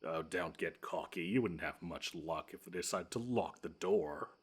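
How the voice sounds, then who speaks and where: A man voicing a grumpy, pompous monster from under the bed in an audio roleplay, wordy and dryly sarcastic, with drawn-out menace. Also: low voice, husky. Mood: sardonic. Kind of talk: scripted audio roleplay monologue.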